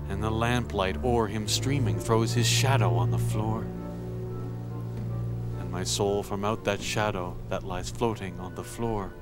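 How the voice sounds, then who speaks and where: A man narrating gothic poetry as a dramatic reading, in a theatrical, brooding, measured delivery. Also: on the low side, loud music behind the voice. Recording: creepy sound mix behind the voice.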